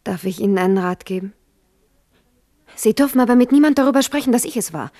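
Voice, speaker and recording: Actress as a young princess, anxious and hushed, slightly high voice. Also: female voice, fast, lightly acted. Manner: hushed, anxious, drops to whispers. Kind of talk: 1970s radio play acting.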